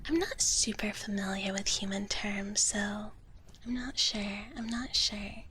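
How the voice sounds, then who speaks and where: A woman streaming live ASMR in a soft, breathy whisper close to the mic, chatting casually and playfully in a gentle dragon-girl persona. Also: mid-range voice, fairly even intonation, unhurried. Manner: playful, in character as a gentle wish dragon, often speaking of herself in third person. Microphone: close ASMR microphone. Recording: clearly audible background noise.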